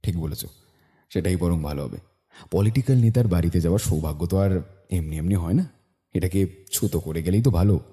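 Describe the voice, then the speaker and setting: Low, mellow-voiced shrewd detective played by a man. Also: close to an everyday voice. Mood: confident. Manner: measured, reasoning aloud with thoughtful hums. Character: shrewd, self-assured male private detective, musing and dry. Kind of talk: dramatised audio detective story acting.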